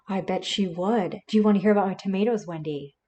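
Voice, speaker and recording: A woman's voice: medium pitch, a little fast, warm tone, muffled recording, close to an everyday voice. An actress playing a sweet, doting, folksy middle-aged mother who loves to chat, in an audio drama. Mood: eager, chatty. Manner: folksy, affectionate.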